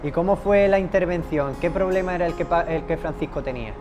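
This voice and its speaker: A man's voice: a little high, fast talker. A young man interviewing a patient outdoors on a patio, asking leading questions with hesitant restarts. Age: young adult. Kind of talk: promotional interview. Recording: music bed underneath. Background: background music.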